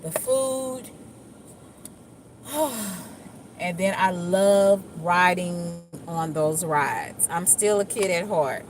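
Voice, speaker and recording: Woman, Dallas accent, slow unhurried drawl, lowish voice, rambling vlog chat. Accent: Dallas, Texas. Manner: rambling, laid-back, with frequent fillers and restarts. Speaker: vlogger. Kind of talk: casual chat while driving. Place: car. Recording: faint vehicle noise.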